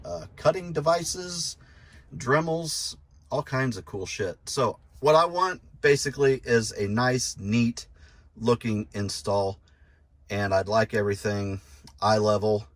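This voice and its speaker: A man's voice: medium pitch, slow. A middle-aged man casually talking through a hands-on DIY job, informal and folksy, thinking aloud as he goes. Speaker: host of a DIY install video. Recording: noticeable background noise.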